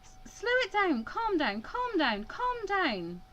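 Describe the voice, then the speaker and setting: A woman's voice: very high-pitched, huge pitch swings, takes it slowly, a bit bright, highs cut off, slight background noise, pitched above the performer's own voice, heavily acted. A woman voicing an anxious, easily panicked young student mediator in a visual novel, stammering and exclaiming.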